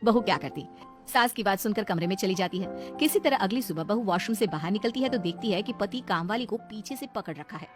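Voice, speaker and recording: A woman's voice: mid-range voice, expressive, a little fast, over music. A woman narrating a scripted comic moral story as the storyteller.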